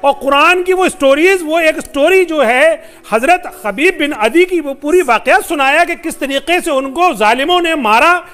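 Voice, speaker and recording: A man's voice: very high-pitched, moderate pace, few pauses, a bit bright. A man on a TV debate panel arguing his case insistently and talking over others, with music behind. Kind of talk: argumentative TV debate.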